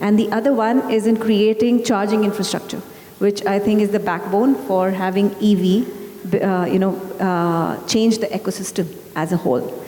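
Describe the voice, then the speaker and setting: A woman investor speaking on a panel, pausing often with fillers as she explains her views. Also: mid-range voice, steady tone, mellow, reverberant, long reverb tail. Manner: hesitant, many fillers. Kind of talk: panel discussion.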